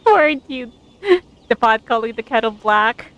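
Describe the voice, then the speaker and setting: A woman's voice: fairly high pitch, lively intonation, a little slow, a bit bright, slight background noise. A woman game streamer chatting casually and reacting aloud to a story as she plays, close to her microphone. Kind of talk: casual live gameplay commentary and reading along.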